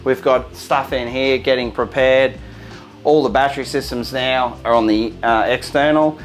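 A man in his forties leads a relaxed, upbeat tour of a caravan production line. His voice sits in a middle range, and faint music runs underneath. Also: mid-range voice, normal speed. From Australia. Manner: upbeat, informal, gestures at fittings while walking. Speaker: company head giving a factory tour. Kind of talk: walk-through tour presentation. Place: large indoor caravan factory and van interior.

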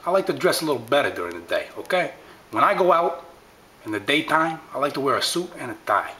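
A man voicing a gruff, dapper toy doll who grumbles low complaints about its outfit and drops menacing asides. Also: sing-song, fast talker, pushed and bright, slight background noise. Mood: indignant.

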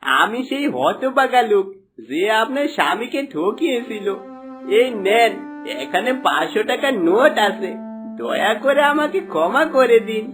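A man's voice: high-pitched, very animated, measured pace, over music, exaggerated voice. A man playing a remorseful, greedy villager in a cartoon moral tale, pleading in a plaintive way. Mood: apologetic.

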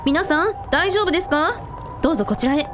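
A woman playing a caring, take-charge shrine priest in an amateur radio drama, brisk, polite and concerned. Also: sits high, expressive. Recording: music behind the voice.

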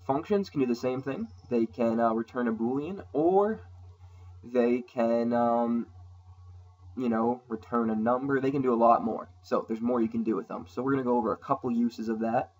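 A man talks through a coding tutorial over a screen recording, casual and unscripted, often pausing on ums while he types. His voice sounds a little rough from a sore throat, and it sits at a middling pitch. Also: a little mellow, lo-fi sound. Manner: casual, thinking aloud with many ums. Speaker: programming tutorial host. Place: at a computer, voice-over on screen capture.

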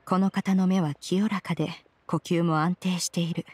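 A woman's voice: lowish voice, fairly even intonation, normal speed, a little mellow, husky, dry recording. A woman voicing a proud, composed female mystic in a Japanese fantasy game, speaking with archaic, authoritative wording. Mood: composed, haughty. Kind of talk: Japanese anime game voice acting.

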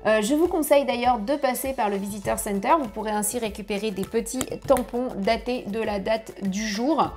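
Woman in her thirties, travel vlogger, chatty and animated, lapel mic, home office, soft music underneath. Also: fairly high pitch, moderate pace, few pauses. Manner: lively, chatty, gesturing with hands. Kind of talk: travel tips vlog to camera. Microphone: clip-on lapel mic.

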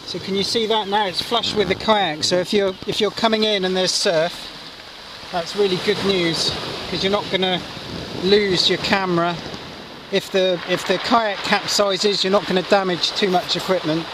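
A man's voice: high-pitched, fairly even intonation, normal speed. A middle-aged man giving a casual hands-on gear demo on a pebble beach, explaining step by step with plenty of fillers. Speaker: kayak angler reviewing gear. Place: outdoors on a pebble beach by the sea. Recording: distant mic with loud wind and surf.

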